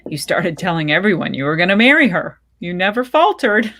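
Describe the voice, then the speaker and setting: A woman reading the part of a gentle, affectionate middle-aged mother in a short story, soothing and reassuring. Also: fairly low pitch, sing-song, fairly quick. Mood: encouraging. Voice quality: husky, creaky, gentle.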